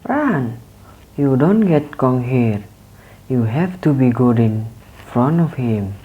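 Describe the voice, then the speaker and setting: A man's voice: mid-range voice, animated, slow, husky, narrow, tinny audio. A young man with an Indonesian accent playing a scheming student bully leader in an amateur radio drama. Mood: bossy. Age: young adult. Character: scheming older-brother student, leader of school bullies.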